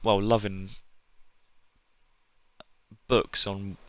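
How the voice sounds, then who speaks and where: A man's voice: lowish voice, flat delivery, drawn-out words, fairly bright tone, dry recording. A British man chatting casually to viewers on a live stream, rambling and hesitant, often trailing off. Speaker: hobby game streamer. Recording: music playing behind the voice. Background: music.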